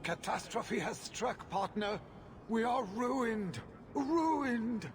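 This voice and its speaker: An actor playing a greedy, self-pitying mine owner in a video game, lamenting in a theatrical manner. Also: male voice, extremely high pitch, expressive, drawn-out words, a little hiss or hum, full character voice. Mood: distraught. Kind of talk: dramatic video game dialogue.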